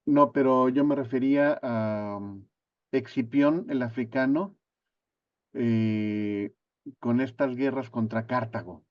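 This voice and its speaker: Slow, hesitant man on a dull line. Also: medium pitch, no echo. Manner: hesitant, halting, many fillers. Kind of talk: casual conversation over a call.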